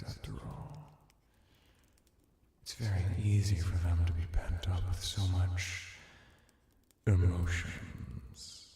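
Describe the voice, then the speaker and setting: A man voicing a seductive, domineering vampire hypnotist in an ASMR roleplay, teasing and commanding in hushed tones that often drop to a whisper. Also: low-pitched. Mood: possessive, seductive. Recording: very echoey, distant-sounding voice.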